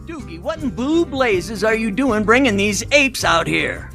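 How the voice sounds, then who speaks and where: An actor playing a gruff, wry, old-fashioned football coach with a folksy, sardonic manner in a 1920s audio drama. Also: male voice, high-pitched, lively intonation, measured pace, over loud music. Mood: wry, blustery.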